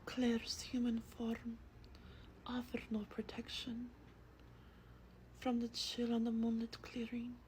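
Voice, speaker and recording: A woman playing an affectionate vampire in a soft-spoken ASMR roleplay, with a breathy whisper and a tender, unhurried, intimate manner. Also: on the high side, completely flat delivery, a little hiss or hum, low-quality recording. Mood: hushed storytelling. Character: affectionate female vampire soothing her human darling.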